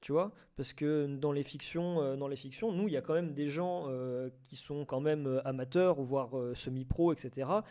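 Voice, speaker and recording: Homme à voix assez aiguë, hésitant. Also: male voice, on the high side, normal speed, few pauses, mellow. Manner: hesitant, repeats and rephrases words. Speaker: host of a live online debate. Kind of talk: casual unscripted debate on audio fiction.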